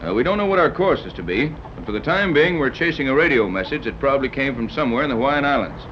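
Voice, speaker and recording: An actor playing a wry, skeptical sea captain in a 1930s radio serial, from a dull old recording. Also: male voice, medium pitch, big pitch swings, a little hiss or hum, natural voice. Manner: dry, skeptical, brisk.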